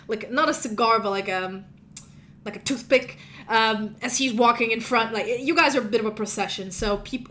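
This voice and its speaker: A woman's voice: medium pitch, a bit bright, slight background noise. A woman whose first language is Russian, narrating as game master in a rambling, chatty way, often correcting herself. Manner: chatty, rambling, self-correcting, laughs at own slips.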